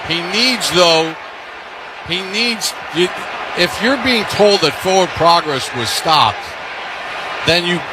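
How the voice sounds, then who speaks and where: High-voiced male football play-by-play announcer. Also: lively intonation, takes it slowly, pauses often, over a noisy crowd. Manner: emphatic, clipped phrases. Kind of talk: live sports commentary. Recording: noisy live stadium radio broadcast. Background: loud stadium ambience.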